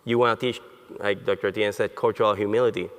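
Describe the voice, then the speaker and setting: A man born in Colombia and raised in Puerto Rico, giving a conference lecture in a halting way with many fillers and restarts. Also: on the low side, fairly even intonation. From born in Colombia, grew up in Puerto Rico. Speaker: neurologist, conference speaker. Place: conference hall. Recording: long hall reverb.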